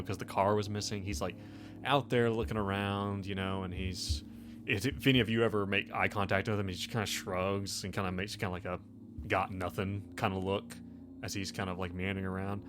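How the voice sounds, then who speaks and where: An American man narrating a tabletop game as game master, improvising in a halting, conversational way. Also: lowish voice, background noise. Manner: halting, conversational, peppered with "like" and "you know". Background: faint music.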